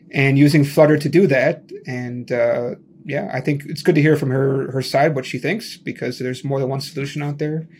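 A man's voice: medium pitch, a little mellow. A man hosting a casual tech interview over a video call. He talks loosely and chattily, with lots of "like" and many restarts. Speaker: podcast host.

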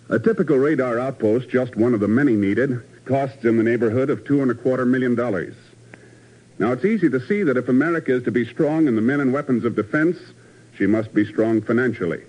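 A man doing scripted announcing and narration in 1950s radio style. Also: mid-range voice. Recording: muffled 1950s radio broadcast.

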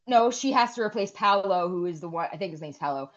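A woman's voice: on the low side, expressive, fast talker, dull audio. A woman in her forties, co-hosting a podcast and chatting casually, rambling and swearing freely. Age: 40s. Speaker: podcast co-host. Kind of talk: casual chat.

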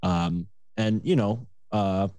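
Mellow low-voiced man in his twenties. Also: slow, no room sound, dull audio. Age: 20s. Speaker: podcast host. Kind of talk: casual podcast chat.

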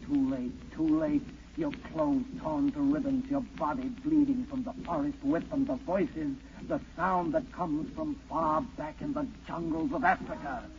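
An actor playing an ominous, taunting narrator who haunts a fugitive, incantatory and theatrical, in an old radio drama. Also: male voice, on the high side, very animated, unhurried, gravelly, hardly any pauses, exaggerated voice. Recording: noisy, dull 1940s radio broadcast.

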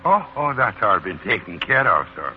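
Sly, deferential Irish leprechaun butler, theatrical and very animated, for vintage radio play on muffled old broadcast. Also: male voice, fairly low pitch, moderate pace, a little hiss or hum. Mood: obsequious, evasive. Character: wily, servile old leprechaun posing as Irish manservant. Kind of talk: 1940s radio acting.

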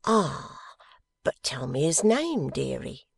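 A woman voicing a warm-hearted, delighted old working-class wife in a classic novel reading, with folksy, exclamatory speech. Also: rumbling low, big pitch swings, takes it slowly, vocal fry, slightly rough, dry recording, studio quality, full character voice. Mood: teasing, excited.

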